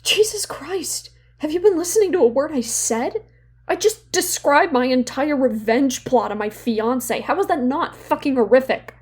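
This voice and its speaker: A woman's voice: sits high, lively intonation, moderate pace, a little mellow. A young woman playing a guilt-ridden, bitter therapy patient in a confessional, self-loathing audio drama monologue. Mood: exasperated, angry. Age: young adult.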